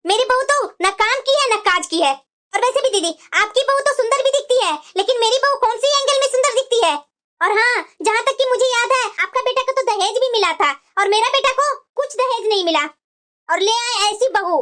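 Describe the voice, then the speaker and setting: A woman's voice: very high-pitched, a little fast, pushed and bright. A woman voicing a bitter, domineering middle-aged mother-in-law who grumbles and scolds dramatically in a family cartoon. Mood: grumbling, aggrieved. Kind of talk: Hindi family cartoon dialogue.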